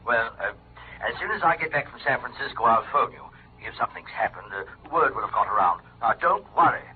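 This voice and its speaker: An actor playing a breezy, evasive male swindler in an old radio drama, hurried and offhand. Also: mid-range voice, expressive, husky. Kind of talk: 1940s radio drama acting. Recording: tinny, noisy old phone-line effect.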